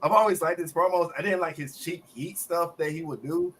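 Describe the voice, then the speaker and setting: A man with a slightly raspy voice, co-hosting a casual wrestling review and talking in animated bursts with lots of repeated words. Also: a little high, brisk, slight background noise. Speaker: podcast co-host.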